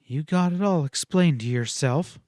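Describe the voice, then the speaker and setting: A man voicing a scornful, quick-tempered young frontier woman in a western audiobook, speaking in emphatic, cutting outbursts. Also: on the high side, a little mellow, no echo, clean studio sound, lightly acted.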